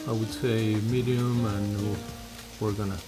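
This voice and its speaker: Halting man with a Mexican accent. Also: on the low side, flat delivery. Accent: Mexican Spanish. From Mexico City. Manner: halting, many pauses and fillers. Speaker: guest cook. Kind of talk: cooking demonstration. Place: home kitchen. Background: background music and sizzling pan.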